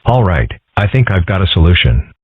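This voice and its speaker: A man voicing a calm, dry-witted, philosophical furry friend in a scripted comic-strip dub. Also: sits low, fairly quick, slightly rough, no room sound, heavily acted. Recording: muffled, phone-like narrow band.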